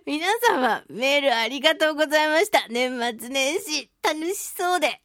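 A woman hosting a solo radio talk show, reading listener mail in a playful, giggly, animated manner. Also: high voice, normal speed, no echo, clean studio sound. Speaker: radio host, voice actress.

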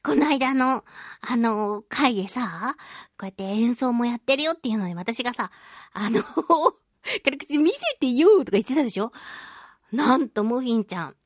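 A woman with a high, girlish pitch hosts a radio talk show. Her intonation bounces widely as she chats playfully and throws in expressive exclamations. The sound is dry, with no room echo. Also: normal speed. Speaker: radio show host, voice actress. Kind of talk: chatty solo radio talk, reading and answering listener mail.

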